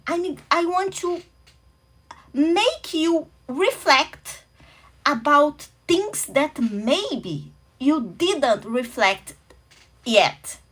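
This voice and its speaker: A woman's voice: high voice, a bit bright. A woman from Brazil with a Brazilian Portuguese accent, a native Portuguese speaker hosting a motivational talk, earnest and welcoming, sometimes searching for English words. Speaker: host.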